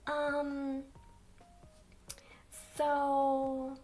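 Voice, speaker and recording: A young woman chats with her stream in a soft, quiet, high voice. She talks slowly and rambles, filling pauses with ums. She sits some distance from the microphone. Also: completely flat delivery, soft background music. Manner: slow, rambling, many ums. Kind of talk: soft-spoken livestream chat. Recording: distant from mic.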